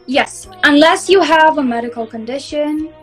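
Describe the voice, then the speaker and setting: Young woman with high voice, faint music. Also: moderate pace. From northern Israel. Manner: brief, upbeat answers with "like". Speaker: guest, social media performer. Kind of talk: casual interview chat.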